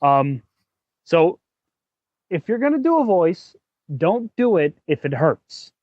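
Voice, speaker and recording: A man's voice: a little high, big pitch swings, slow pace, no echo. Casual male podcast host, dry dull audio. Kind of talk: casual podcast discussion of character voices.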